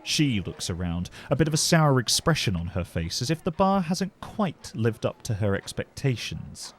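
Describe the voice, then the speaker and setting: A man's voice: mid-range voice, very animated, normal speed. A man giving scripted narration for a fantasy fiction podcast. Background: music.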